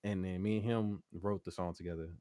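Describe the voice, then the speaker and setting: A man with a low, deep voice talks as a guest artist in a casual interview. His delivery is informal and slangy, coming in loose bursts. The sound is dry and close, with no room reverb. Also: fairly even intonation, fairly quick, mellow. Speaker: interview guest, R&B singer and producer.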